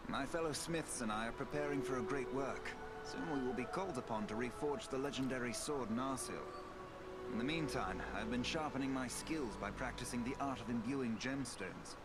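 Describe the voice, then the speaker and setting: An actor playing a learned, dignified and welcoming elven smith in a fantasy game, formal, measured and explanatory in delivery. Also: male voice, medium pitch, loud music behind the voice, lightly acted. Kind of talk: fantasy video game voice acting.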